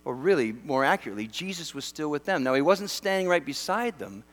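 Animated pastor in a reverberant hall. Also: male voice, a little high, a little fast. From Western Canada. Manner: animated, conversational, self-deprecating humor. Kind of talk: sermon.

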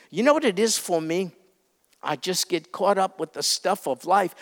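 A man's voice: high voice, a bit bright, long reverb tail. A male pastor preaching a sermon, earnest and exhorting, building emphasis as he urges his listeners.

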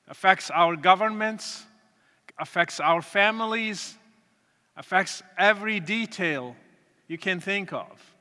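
Guy speaking slow and earnest, bright voice, big echoey hall. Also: sits high, smooth. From Morocco. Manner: earnest, deliberate, with pauses and restarts. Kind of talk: testimony talk to an audience. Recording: reverberant hall.

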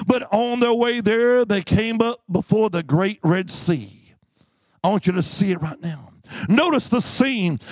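High-pitched man preaching with slow emphasis. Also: dry recording. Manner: emphatic, exhorting, repeats phrases for effect; dramatic storytelling with drawn-out words. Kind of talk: fervent sermon to a congregation.

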